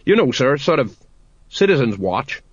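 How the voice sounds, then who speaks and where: A man's voice: mid-range voice, big pitch swings, moderate pace, breathy edge, dry recording, muffled recording. A man voicing an anxious, deferential middle-aged police sergeant who is hesitant and pleading, in a comic audiobook. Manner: hesitant, pleading, urgent, peppered with sir.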